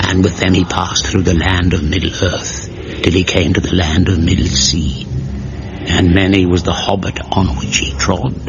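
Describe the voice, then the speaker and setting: A man playing a solemn chronicler, narrating a mock-epic radio comedy with grave deadpan portent. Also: low voice, lo-fi sound.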